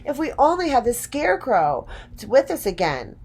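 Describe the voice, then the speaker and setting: An earnest young girl from a children's storybook, as a woman reader acts her out. Her voice sits a little high and sounds full of wonder. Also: very animated, normal speed, darkish tone, breathy edge, full character voice. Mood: wistful.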